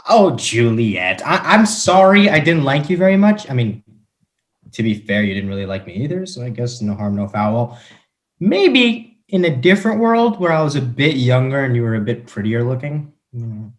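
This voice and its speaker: A man's voice: mid-range voice, very animated, moderate pace, echoey room, close to an everyday voice. A man playing a cowardly, self-important rich young husband in a comic staged reading, hesitant and stammering. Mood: awkward, muted.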